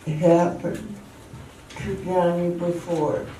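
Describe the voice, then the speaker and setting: A woman's voice: fairly low pitch, level tone, extremely slow, mellow. A woman delivering scripted narration for an amateur radio drama. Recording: echoey hall from far off, faint noise.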